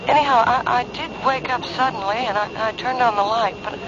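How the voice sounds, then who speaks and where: A woman's voice: on the high side, fairly even intonation, fairly quick, lightly acted. Actress as an uneasy, faltering young woman. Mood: uneasy, confused. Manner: hesitant, faltering. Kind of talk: old-time radio drama acting. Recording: noisy, muffled vintage radio broadcast.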